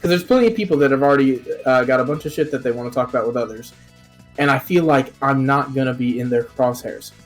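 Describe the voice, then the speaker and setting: A man talking casually about his game plan, eager and energetic. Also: medium pitch, fast, faint music behind. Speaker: player in a online game contest.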